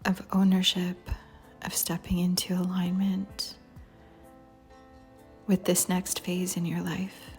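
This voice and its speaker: Female meditation narrator: low-leaning, near-whispered and soothing, unhurried with long pauses, faint music underneath. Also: monotone. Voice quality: soft, breathy near-whisper. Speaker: meditation guide. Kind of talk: guided meditation. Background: soft music.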